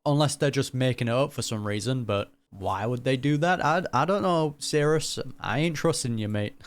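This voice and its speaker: A man's voice: medium pitch, normal speed, clean tone. A man giving casual, slangy gaming reaction commentary in a joking, conversational way. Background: game music.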